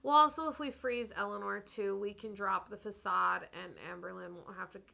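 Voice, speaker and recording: A woman's voice: medium pitch, fairly even intonation, moderate pace, creaky, pushed and bright. A woman bantering casually as a player in a tabletop game, with a flippant, dry manner.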